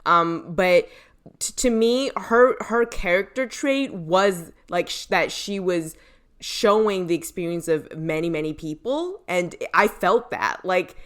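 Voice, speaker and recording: Lower-voiced woman chatting on a podcast. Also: expressive, slow, fairly bright tone. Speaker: podcast host. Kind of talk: casual opinionated podcast chat.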